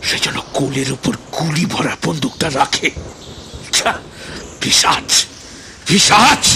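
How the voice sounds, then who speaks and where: A man's voice: medium pitch, slight background noise. An actor playing a frightened captive in a radio drama, agitated and stammering as he pleads to escape. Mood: anguished. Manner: agitated, stammering repetitions, swinging from gasps to shouts.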